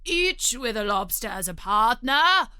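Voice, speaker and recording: A woman voicing a bossy, excitable storybook beast with loud, emphatic exclamations. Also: medium pitch, drawn-out words, clear, resonant tone, no room sound. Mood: brisk, excited.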